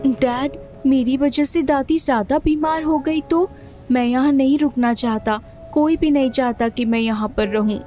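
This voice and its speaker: Sad innocent little boy, high, woman acting. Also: unhurried, dark tone, soft background music. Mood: sad, timid. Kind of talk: audio drama acting.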